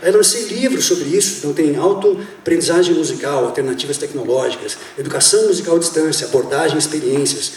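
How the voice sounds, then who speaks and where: A man in his fifties tells the story of his path from drummer to professor, loose and chatty, with lots of fillers. His voice sits a little high for a man. He is heard from well off the mic in an echoey theater with a faint hum behind him. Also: recorded from a distance. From Brazil. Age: 50s. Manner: relaxed storytelling with many fillers. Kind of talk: talk on stage. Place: theater hall.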